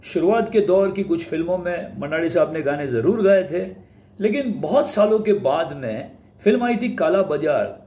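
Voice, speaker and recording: A man's voice: fairly high pitch, animated, moderate pace, mellow. A man hosting an informal, explanatory talk on music history, respectful in the way they name artists and mixing in English words. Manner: speaks Hindi with English words mixed in. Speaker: host presenting film music history. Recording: roomy echo, faint background noise.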